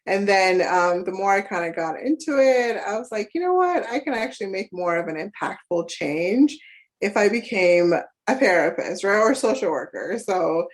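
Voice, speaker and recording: A woman's voice: mid-range voice, lively intonation, moderate pace. A woman from Ghana, a therapist, talking casually as a podcast guest and often adding "right?" along with fillers. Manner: talkative, often adds "right?" and trails off with fillers. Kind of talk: casual conversational interview.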